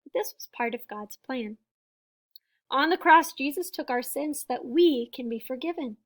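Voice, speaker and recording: A woman reading a children's picture book aloud, with deliberate, emphatic phrasing and small explanatory asides for young listeners. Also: sits high. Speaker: storybook reader and teacher.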